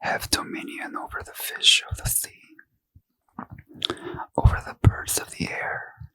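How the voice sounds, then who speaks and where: A man whispering a bedtime scripture reading in a gentle, unhurried ASMR manner. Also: low-quality recording. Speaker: ASMR reader.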